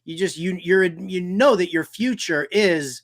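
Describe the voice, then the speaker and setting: A man's voice: sits high, measured pace, a bit bright. An American man hosting a sports show, chatting casually and informally like a fan. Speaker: host of a sports and fantasy football show.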